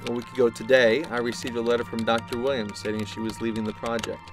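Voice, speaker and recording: An actor playing a grave, concerned male scientist in an audio drama, speaking in a measured, formal way. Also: medium pitch, level tone, over music, lightly acted. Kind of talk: audio drama acting, opening with a dictated log.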